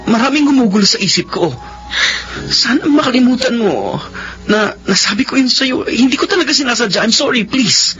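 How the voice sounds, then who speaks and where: Bright, swooping Filipino jealous husband. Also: male voice, extremely high pitch, expressive, a little fast, muffled recording, soft background music. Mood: apologetic, pleading. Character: Boy, proud jealous husband, anxious and apologetic. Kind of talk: Filipino radio drama acting.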